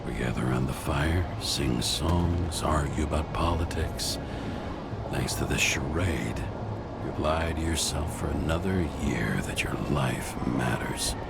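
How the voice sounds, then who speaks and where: A man's voice: extremely low pitch, unhurried, gravelly, exaggerated voice. A man playing a weary, cynical, hard-drinking detective in a noir video game, brooding and sardonic. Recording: loud music behind the voice.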